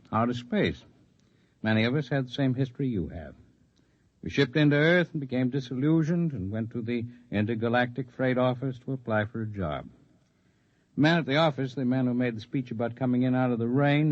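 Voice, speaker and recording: An actor playing a composed, articulate leader of an underground freedom movement in 1950s radio drama style, speaking in measured, formal, persuasive speeches. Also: male voice, medium pitch, animated, dry recording, close to an everyday voice. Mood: calm, explanatory. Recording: dull lo-fi vintage radio recording.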